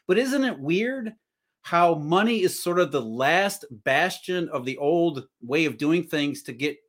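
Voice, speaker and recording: Male guest, higher-pitched, earnest, talks in restarts while working ideas out. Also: fairly bright tone. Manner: earnest, thinking aloud with restarts. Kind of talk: casual podcast conversation.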